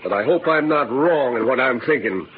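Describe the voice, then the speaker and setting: An actor playing a gruff, suspicious western sheriff with blunt delivery in an old radio drama. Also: male voice, mid-range voice, animated, normal speed, close to an everyday voice. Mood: wary. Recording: tinny, noisy vintage radio recording.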